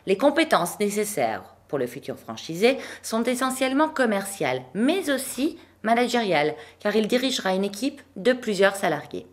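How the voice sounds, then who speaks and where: A woman presenting a business news bulletin in a clear, measured read delivery and interviewing guests. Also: medium pitch, big pitch swings. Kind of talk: scripted news narration.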